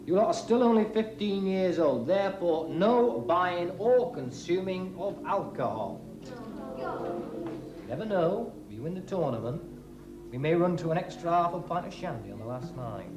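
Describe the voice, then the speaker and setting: An actor playing a bossy schoolteacher who briefs a boys' team, listing rules point by point. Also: male voice, high-pitched, animated, normal speed, roomy sound, music in the background. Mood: lecturing. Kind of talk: 1980s TV drama acting.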